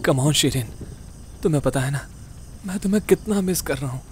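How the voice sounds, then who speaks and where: A man playing an earnest, excitable young ghost-case solver in a horror-comedy audio drama, colloquial and mixing in English. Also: on the high side, a little fast, natural voice. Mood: tender, longing. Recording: dramatic music under voice.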